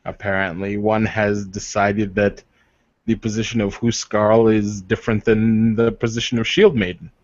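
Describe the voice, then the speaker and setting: A man playing a stoic, formal warrior with a measured, deadpan delivery and a wryly mocking streak in a tabletop roleplay. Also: fairly low pitch, dry recording, lo-fi sound, close to an everyday voice. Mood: formal, firm.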